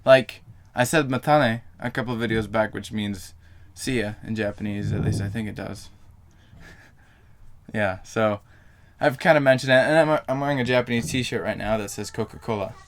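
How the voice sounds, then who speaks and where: A man's voice: lowish voice, moderate pace. A young man vlogging to camera in a casual, rambling way, full of fillers and self-corrections.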